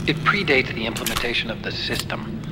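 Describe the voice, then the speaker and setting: A man's voice: medium pitch, a little fast, heavy background noise, close to an everyday voice. A man voicing an eager, friendly tech engineer. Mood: helpful. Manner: eager, explanatory, upbeat.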